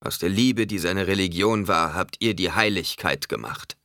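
A man voicing a fiery old desert preacher in an audiobook, proclaiming solemn warnings in a booming, declamatory way. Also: moderate pace, pushed and bright, no echo, clean studio sound. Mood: accusing, fervent.

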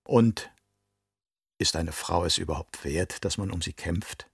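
Man acting a soft, seductive captive woman, low-pitched. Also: expressive, unhurried, breathy edge, no echo, studio quality, exaggerated voice. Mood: sultry, provoking. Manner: soft, coaxing.

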